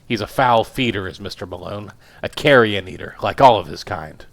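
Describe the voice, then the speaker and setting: A man voicing a gruff, domineering professor who rumbles and mocks his wife and a visitor. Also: animated, normal speed, fairly bright tone. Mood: gruff mocking. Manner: rumbling, sardonic. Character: gruff bullying male professor, mocking and domineering.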